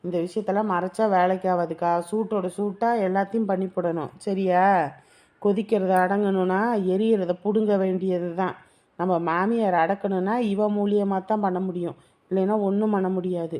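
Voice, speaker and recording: An actress playing a scheming young sister-in-law who talks bluntly and persuasively. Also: female voice, medium pitch, steady tone, normal speed, mellow, lightly acted.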